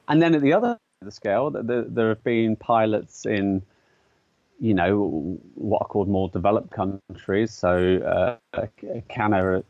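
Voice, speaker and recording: A man with a fairly low voice explains his ideas as an interview guest. He talks thoughtfully, stopping to restart and filling pauses with ums. The sound is dry and a little dull, like a lo-fi recording. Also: darkish tone, dry recording. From English-speaking country. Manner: thoughtful, hesitant, many fillers and restarts. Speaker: artist and educator, guest.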